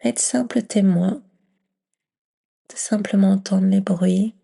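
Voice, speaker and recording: A woman leading a guided yoga nidra meditation in a gentle, soothing near-whisper with long pauses. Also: medium pitch, fairly even intonation, gravelly, breathy edge.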